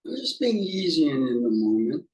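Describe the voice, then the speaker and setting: A man leading an unscripted guided meditation as a teacher, musing haltingly in short fragments with pauses. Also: on the high side, sing-song, a little mellow, poor audio.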